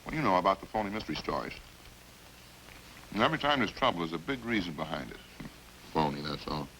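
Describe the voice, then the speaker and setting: A very low, creaky-voiced killer in an old radio crime drama. He rambles casually and folksily, calm as he confesses, on a faintly hissy vintage recording. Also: fast talker, rough recording. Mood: casual, rambling. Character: chatty, hungry male killer calmly confessing over supper.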